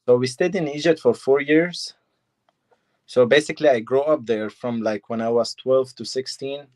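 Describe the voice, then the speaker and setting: A young man from Syria, answering interview questions in short, halting phrases of simple English. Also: mid-range voice, a little mellow. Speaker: fighter, guest.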